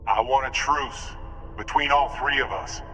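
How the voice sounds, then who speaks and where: An actor playing an earnest, protective otherworldly emissary in a video game, speaking gravely and sincerely. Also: male voice, mid-range voice, fairly even intonation, unhurried, noisy background, natural voice. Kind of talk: dramatic video game acting.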